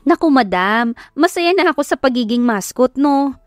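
A woman with a Filipino accent voicing a bubbly, energetic young mascot performer in an audio drama. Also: sits high, moderate pace, no echo, studio quality, pitched above the performer's own voice. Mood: cheerful. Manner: energetic, chirpy. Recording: background music under the voice.

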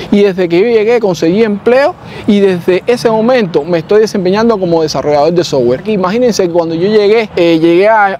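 A man with a Cuban accent, a software developer hosting a casual vlog, who chats loosely and keeps asking if you follow him. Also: sits high. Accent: Cuban Spanish. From Cuba. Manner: chatty, often adds "¿me entiendes?". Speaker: software developer and channel host.

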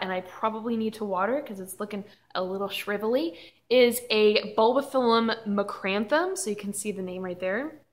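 A woman's voice: medium pitch, a bit bright. A young woman with a Southern US accent chats casually from a room at home. She is enthusiastic and a little rambling, and she laughs easily. The sound is from a webcam on her desk. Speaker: orchid channel host. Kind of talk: casual chatty vlog.

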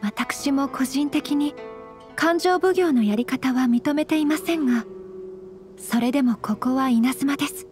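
An actress playing a dignified young noblewoman in a Japanese game dub, formal, courteous and composed, gentle but firm. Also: high voice, a little slow, faint music behind. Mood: composed admonishing. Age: young adult.

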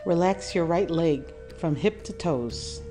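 Low-voiced woman guiding a meditation. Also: lively intonation, music in the background. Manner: soothing, measured, with deliberate pauses. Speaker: meditation guide. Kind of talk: guided meditation, calm instruction.

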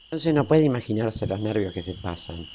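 A man's voice: lowish voice, sing-song, brisk. A middle-aged man with a Rioplatense Argentine accent playing a talkative, tender-hearted small-town family man in a radio play. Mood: tender, chatty. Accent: Rioplatense Argentine Spanish. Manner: talkative, folksy, seeking agreement. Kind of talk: amateur radio play acting. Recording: faint hiss with night crickets. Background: crickets, night ambience.

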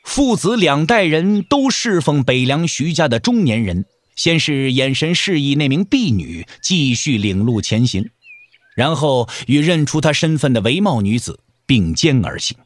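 A man's voice: medium pitch, big pitch swings, measured pace, dry recording. A man narrating a martial arts audio drama in a dramatic, emphatic storytelling style.

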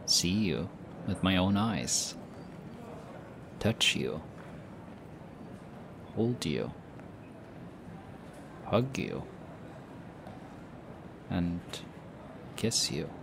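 A young man voicing an affectionate, teasing boyfriend in an audio roleplay, speaking softly and hushed. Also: sits low, extremely slow, gravelly, long pauses, heavily acted. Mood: tender, teasing. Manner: soft, hushed, teasing with light laughs. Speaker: voice actor. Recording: steady ambient background noise. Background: busy airport ambience.

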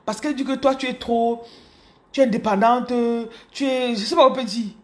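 Cameroonian woman in her thirties, higher voice, animated phone vlog to followers. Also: normal speed. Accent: Cameroonian French. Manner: animated, repeats words, addresses viewers directly. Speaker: business coach. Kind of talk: casual motivational storytelling. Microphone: phone camera held close.

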